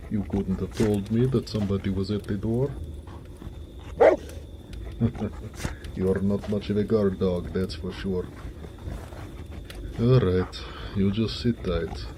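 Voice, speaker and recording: A man playing a big, warm-hearted, jovial orc blacksmith in an affectionate, folksy, one-sided romantic audio roleplay. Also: lowish voice, background noise. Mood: easygoing, gruff.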